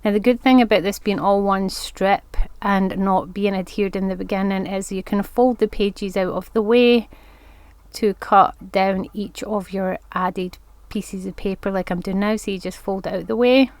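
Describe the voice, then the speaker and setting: A woman's voice: medium pitch, fairly even intonation, normal speed, a little mellow, clear, resonant tone, no echo. A woman with a congested voice from hay fever, chatting her way through a step-by-step crafting tutorial. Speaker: craft vlogger.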